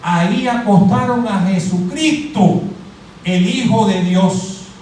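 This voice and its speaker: A middle-aged Cuban man preaching a lively sermon, quizzing his congregation and joking as he goes. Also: sits high, slow pace, slight background noise. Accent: Cuban Spanish. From Cuba. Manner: animated, asks the crowd questions and jokes. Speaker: pastor. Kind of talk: interactive sermon, calling on the congregation. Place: church hall. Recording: very echoey hall, mic at a distance.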